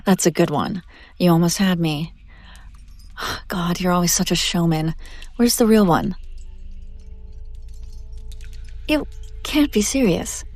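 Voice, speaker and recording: A woman playing a haughty, wealthy demon buyer in a scripted audio roleplay, condescending and teasing with drawn-out theatrical delivery. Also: fairly low pitch, darkish tone, dry recording. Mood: skeptical, dismissive.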